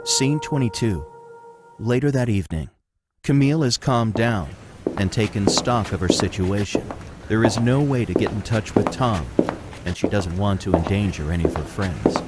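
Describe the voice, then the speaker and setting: A man with a fairly low voice narrates an audio drama from a script. Loud noise and clicks sit on the recording. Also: moderate pace.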